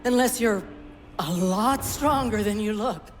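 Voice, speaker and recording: A woman's voice: mid-range voice, unhurried, close to an everyday voice. A woman playing an anxious female scientist on a space station, flustered and hesitant. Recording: game cutscene, long reverb, music.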